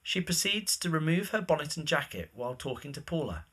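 A man's voice: a little high, very animated, a little fast, a bit bright, husky. A man narrating scripted stage directions for a drama.

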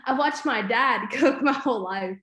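A woman's voice: on the high side, normal speed, a bit bright. A woman in her twenties from the southern United States, chatting in a giggly way as an interview guest. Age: 20s. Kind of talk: casual video-call interview. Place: small room, indoors. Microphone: video call. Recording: echoey room on a video call.

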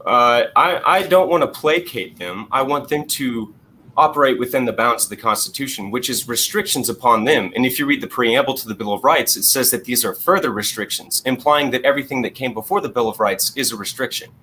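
A man's voice: mid-range voice, a little fast, pushed and bright, a little hiss or hum. A man in his thirties arguing insistently on a video call through a headset, with stumbling restarts and repeated phrases. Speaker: guest. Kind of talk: heated debate on a video call. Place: small room at home.

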